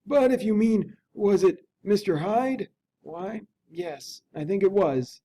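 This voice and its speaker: A man's voice: sits high, expressive, takes it slowly, mellow, voice pushed up, heavily acted. A man voicing an anxious, earnest and deferential Victorian butler in a dramatic reading of a classic novel, with urgent, folksy servant's speech. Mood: uneasy, insistent.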